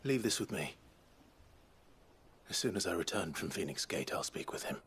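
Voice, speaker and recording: Brooding, low-voiced young noble warrior. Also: male voice, expressive, fairly bright tone, slight background noise. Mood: grave. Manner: grave, measured. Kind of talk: dramatic video game acting.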